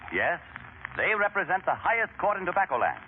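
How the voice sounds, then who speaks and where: A man's voice: high voice, sing-song, brisk, narrow, tinny audio. A man announcing a 1930s radio advertisement in a formal, grand, emphatic way on a scratchy broadcast.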